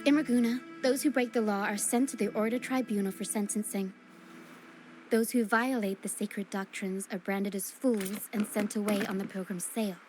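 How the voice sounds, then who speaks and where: A woman playing a caring, solemn young woman in a video game, speaking in a measured and earnest way. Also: mid-range voice, close to an everyday voice. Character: caring young woman guiding through a ghostly mist, solemn and gentle. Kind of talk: scripted video game acting. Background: game music.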